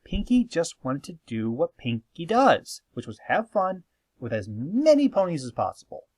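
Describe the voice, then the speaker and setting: A man's voice: on the high side, huge pitch swings, normal speed, darkish tone, no echo. A man hosting a scripted opinion commentary, speaking in an emphatic, conversational and playfully sarcastic way. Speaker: host of a fan opinion video.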